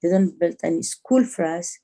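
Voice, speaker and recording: A middle-aged woman with an Afghan accent, speaking emphatically in halting English as a guest on a video call interview. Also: on the low side, warm tone. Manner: emphatic, repeats phrases in halting non-native English. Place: small home room, indoors. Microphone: video call on a phone or laptop.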